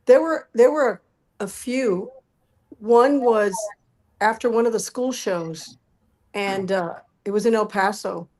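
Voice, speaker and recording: Middle-aged female musician guest, mid-pitched, recounting memories in an animated, conversational way with quoted dialogue. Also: slow. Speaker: interview guest, musical performer. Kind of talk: animated personal storytelling.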